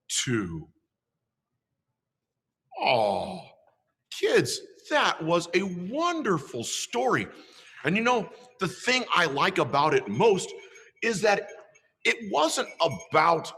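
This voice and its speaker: Santa storyteller for children, unhurried and bright, expressive reading. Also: male voice, vocal fry, husky, big-room sound. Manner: slow, highly expressive.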